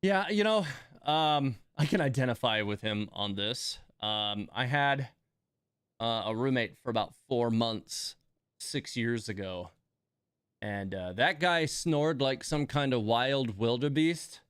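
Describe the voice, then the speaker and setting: A man's voice: mid-range voice, animated, slow, fairly bright tone, smooth, dry recording. Dry-sounding man chatting as a livestream host. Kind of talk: casual live commentary reacting to game voice lines.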